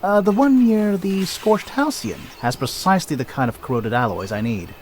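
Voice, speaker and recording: A man's voice: sits high, lively intonation, moderate pace, a little mellow. An actor playing a flamboyant, boastful male adventurer in a video game cutscene, theatrical and teasing, with music behind him. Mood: persuasive, showy. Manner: theatrical, teasing, self-satisfied.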